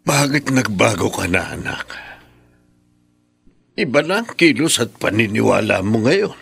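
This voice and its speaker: An actor playing a stern, suspicious older man in a Filipino radio drama, gravelly and husky, with a Filipino accent. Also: on the low side, sing-song, measured pace, fairly bright tone, faint music behind, heavily acted.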